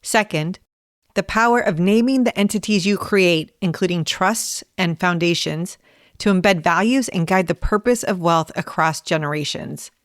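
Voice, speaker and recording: Woman co-host, lowish voice, measured scripted read, dry studio mic. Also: no room sound. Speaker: podcast co-host. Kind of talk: scripted episode preview reading.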